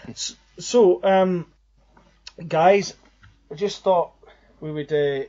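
A man's voice: high voice, extremely slow, a little mellow. Rambling young man on a muffled podcast. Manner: earnest, rambling. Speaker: podcast co-host. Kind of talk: casual podcast chat. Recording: slightly muffled lo-fi audio.

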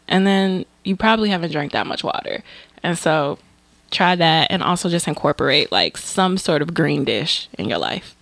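A woman's voice: fairly low pitch, gravelly, no echo. A woman co-hosting a podcast, chatting casually and offering encouraging advice. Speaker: podcast co-host.

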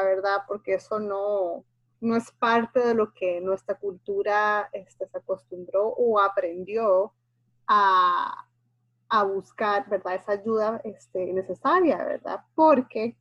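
A woman's voice: mid-range voice, dry recording, highs cut off. A woman therapist talking in a reflective, conversational way, often checking in with the listener. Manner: warm, reflective, frequently asks ¿verdad?. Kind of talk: casual discussion.

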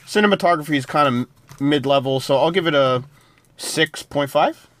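Chatty man, bright voice, dry mic. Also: a little high, normal speed, dry recording. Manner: rambling, loose plot summaries with many fillers. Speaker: podcast co-host. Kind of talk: casual TV review chat.

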